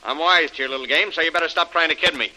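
An actor playing a suspicious, ruthless villain in a 1930s radio serial, talking in blunt, sarcastic slang. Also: male voice, on the high side, racing, pushed and bright, low-quality recording. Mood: menacing. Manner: hard-boiled, sarcastic, blunt slangy talk. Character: ruthless, suspicious male villain, cold and menacing. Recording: vintage 1930s radio recording.

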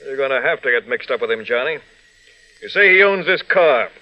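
An actor playing a curt, commanding state patrol officer questioning a suspect in an old radio drama. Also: male voice, medium pitch, expressive, a little fast, sharp tone, poor audio. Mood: firm, probing. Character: stern, no-nonsense male state patrol officer.

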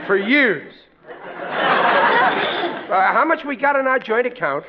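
A man's voice: very high-pitched, expressive, very slow, over a noisy crowd, exaggerated voice. An actor playing a blustering, scheming middle-aged American family father in an old-time radio comedy, with hammy, folksy, ungrammatical delivery. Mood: indignant, boastful. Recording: muffled, noisy vintage radio recording.

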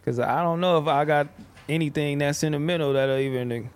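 A man with a high voice jokes in loose, slangy talk on a podcast. He acts offended for laughs and riffs with his friends. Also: fairly quick, smooth. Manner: slangy, mock-offended, joking. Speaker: podcast co-host. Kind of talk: casual banter.

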